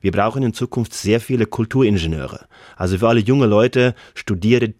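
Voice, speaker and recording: A man with a Norwegian accent speaks German as a radio interview guest, earnest and full of small hesitations. His voice sits somewhat low. The sound is dry and close, with no room around it. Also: moderate pace, darkish tone, no room sound. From Norway.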